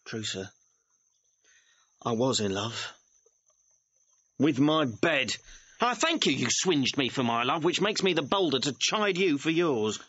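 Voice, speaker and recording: An actor playing a cheeky, quick-witted young manservant in a classical audio drama, impudent and mock-deferential. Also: male voice, medium pitch, big pitch swings, a bit bright, husky, heavily acted. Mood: cheeky, bantering. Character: cheeky, quick-witted young servant mocking his lovesick master. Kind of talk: classical stage-style audio drama acting. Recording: dull, band-limited vintage audio recording.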